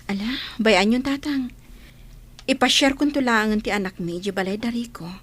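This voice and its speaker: A woman playing a wistful, respectful young single mother in a radio drama, with soft, heartfelt delivery. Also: a little high, moderate pace, husky.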